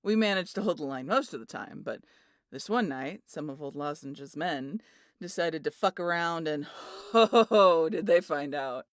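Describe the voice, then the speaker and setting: A woman's voice: sits low, normal speed, no echo, studio quality. A woman playing a brash, teasing, big muscular aunt in an ASMR audio drama, a swaggering storyteller. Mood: gleeful, boastful. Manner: swaggering, foul-mouthed, animated storyteller.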